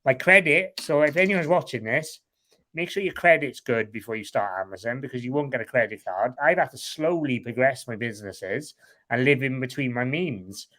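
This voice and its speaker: Welsh man, plain mid pitch, candid guest in a loose chat, swears freely. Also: expressive, normal speed, a bit bright. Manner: frank and rambling, swears casually. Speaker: guest, online seller.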